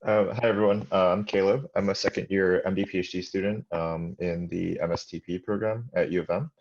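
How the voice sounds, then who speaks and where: A man's voice: low voice, steady tone, fairly quick, darkish tone, lo-fi sound. A young man from Ann Arbor, an MD-PhD student, introducing himself hesitantly with many fillers on a video call. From Ann Arbor, Michigan. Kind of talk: casual self-introduction.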